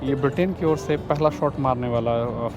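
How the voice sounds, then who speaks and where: A man's voice: mid-range voice, moderate pace, over music. A man giving a casual walking tour outdoors, mixing English words into his speech and sometimes searching for words. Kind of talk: casual tour narration to camera. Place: outdoors on city streets by a river.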